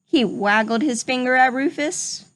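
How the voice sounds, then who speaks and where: A woman reading a picture book aloud to young children in an expressive storytelling manner. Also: high-pitched, measured pace. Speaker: storybook reader. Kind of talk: children's read-aloud narration.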